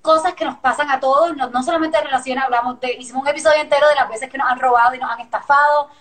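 A woman's voice: high voice, steady tone, very fast, a bit bright. A young woman from Puerto Rico with a Puerto Rican accent, a singer-songwriter guest chatting candidly and easily. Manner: easygoing, laughing, candid. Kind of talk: casual interview chat.

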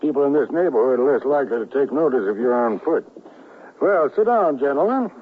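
An actor playing a formal, exacting gentleman spymaster in a radio western, clipped, courteous and commanding. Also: mid-range voice, expressive, a little fast, natural voice. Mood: courteous, controlling. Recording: noisy, tinny vintage radio drama tape.

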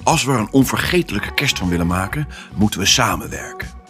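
A male actor voicing an excitable pet dog who tells his own Christmas adventure in an expressive, theatrical family audio drama style. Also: on the low side, brisk, over music, close to an everyday voice. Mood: rousing, commanding. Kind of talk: first-person storytelling in a family audio drama.

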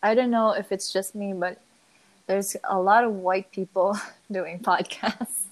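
A young woman from the Philippines with an American-sounding accent, co-hosting a casual podcast, chatty and quick to laugh. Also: mid-range voice, steady tone, low-quality recording. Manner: chatty, laughs often, trails off into quiet asides. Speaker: podcast co-host. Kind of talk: casual chat.

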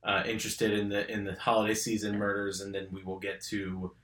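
A man's voice: on the low side, monotone, fairly quick, fairly bright tone, echoey room. A young man co-hosting a true crime podcast, talking casually and hesitantly with lots of ums. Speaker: podcast co-host.

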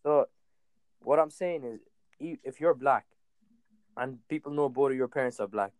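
A man's voice: medium pitch, moderate pace, darkish tone, dry recording. A man in a heated casual discussion, insistent, repeating himself to keep the floor. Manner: insistent, interrupts to hold the floor.

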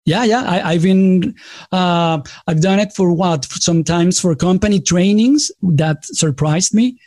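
A man's voice: sits high, a little slow, a little mellow, no room sound, studio quality. A man with a Latin American Spanish accent, a native Spanish speaker, talking conversationally as a podcast host, often digressing and correcting himself. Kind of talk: casual conversational interview.

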